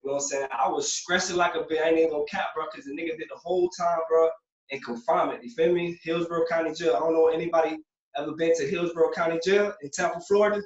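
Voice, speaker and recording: A man's voice: a little high, steady tone, quick pace. A young man from Puerto Rico, a former prisoner, telling his story as a guest in a loose, streetwise way, filling his talk with "you know what I'm saying". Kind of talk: casual interview storytelling. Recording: roomy, distant, dull lo-fi sound.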